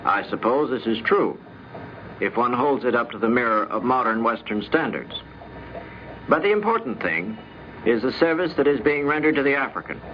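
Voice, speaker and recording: A man reading a scripted, reflective account aloud in a formal, deliberate manner. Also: medium pitch, lively intonation. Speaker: diplomat giving a recorded account. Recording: dull, noisy vintage radio recording.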